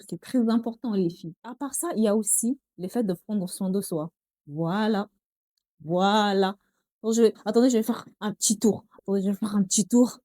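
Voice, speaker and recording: A woman's voice: mid-range voice, mellow, no echo, studio quality. A young woman with a Congolese accent chatting to her viewers in a casual vlog, often calling them girls. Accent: Congolese French. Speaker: vlogger. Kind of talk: casual talk to camera.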